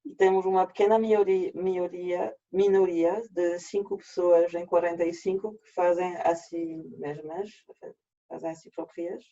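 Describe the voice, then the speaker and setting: A man with a French accent, native French speaker, presenting an online workshop in a halting way with frequent restarts, over a video call. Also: sits high, darkish tone. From France. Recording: dull lo-fi video call audio.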